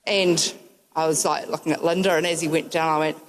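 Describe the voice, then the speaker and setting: A woman's voice: low-pitched, steady tone, brisk, noisy background. A woman with a New Zealand accent giving a memorial tribute, telling wry, humorous anecdotes with frequent ums. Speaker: eulogy speaker.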